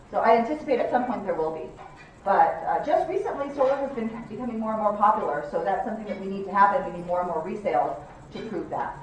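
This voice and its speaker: A woman's voice: medium pitch, a little hiss or hum. A middle-aged woman explaining things to an audience as an appraiser, hesitant and full of fillers. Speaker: real estate appraiser speaker. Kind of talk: presentation with Q&A. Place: hotel conference room, indoors. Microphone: handheld mic, far camera. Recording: dull echoey room recording from far off.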